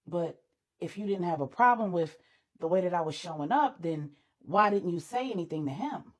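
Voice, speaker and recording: A woman's voice: on the low side, animated, moderate pace, voice pushed down. A woman voicing a caring but insecure, apologetic boyfriend, hesitant and questioning, in an audio story. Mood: hurt, unsure.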